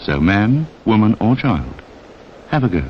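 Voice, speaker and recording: A man's voice: lowish voice, very animated, a little slow, a little hiss or hum. A man narrating a 1960s instructional film in a scripted, direct style that addresses the listener. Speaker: narrator of a public information film. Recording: dull band-limited 1960s film soundtrack.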